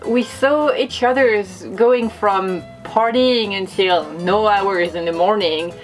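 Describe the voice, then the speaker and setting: Chatty French woman vlogger. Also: on the high side. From France. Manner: playful, chatty, self-mocking. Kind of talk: casual vlog narration.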